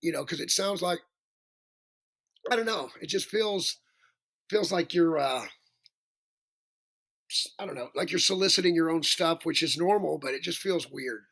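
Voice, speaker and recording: A male singer with a raspy voice, chatting as a podcast guest, rambling and self-deprecating with many restarts. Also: fairly high pitch, moderate pace, long pauses, fairly bright tone. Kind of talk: casual interview chat.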